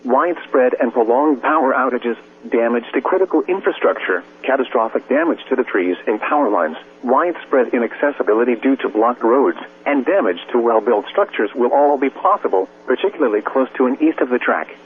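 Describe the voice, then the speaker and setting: A man's synthetic announcer voice reading a formal scripted weather warning in a stiff, measured way with clipped phrasing. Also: mid-range voice, animated. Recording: tinny weather radio broadcast with hum, faint hiss.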